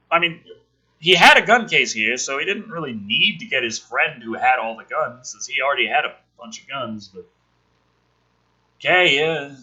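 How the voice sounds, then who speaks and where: A man reading a film script aloud and adding casual, sardonic, profane commentary in an animated way. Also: a little high, slightly rough, poor audio.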